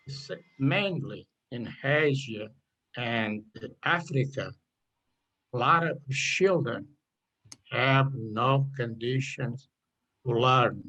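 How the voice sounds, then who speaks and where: An older man from Portugal with a Portuguese accent, speaking English haltingly on a video call about the essay he wrote. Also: mid-range voice, bright, forceful tone, highs cut off. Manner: halting, searching for words, apologetic. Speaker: contest winner, retiree.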